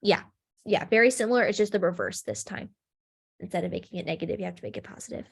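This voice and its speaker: A woman's voice: on the low side, fast talker, vocal fry, husky. A young woman leading a chemistry review session over a video call, sniffly and congested, explaining in a friendly way with lots of ums. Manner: friendly, filler-heavy explaining with frequent ums.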